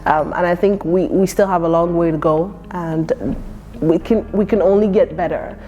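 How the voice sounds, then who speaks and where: A woman's voice: on the low side, fairly even intonation, normal speed, mellow, muffled recording, soft background music. A young woman from Ireland of Nigerian heritage, who grew up with Yoruba and English, answering interview questions in a thoughtful, earnest way with frequent fillers and restarts. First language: Yoruba alongside English. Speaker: poet and performer.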